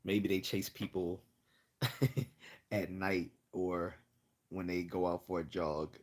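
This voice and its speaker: A man hosting a casual livestream Q&A, chatting and rambling as he loses his voice and keeps clearing his throat. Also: low-pitched, steady tone, slow. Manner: rambling, chatty, laughs often. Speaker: livestream host.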